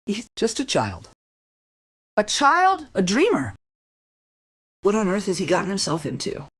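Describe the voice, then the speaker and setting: An insistent wife with animated intonation. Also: female voice, medium pitch, unhurried, husky, full character voice. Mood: despairing. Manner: insistent, curt. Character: worried, stubborn wife of a musician, insistent, wounded. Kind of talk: crime radio drama acting.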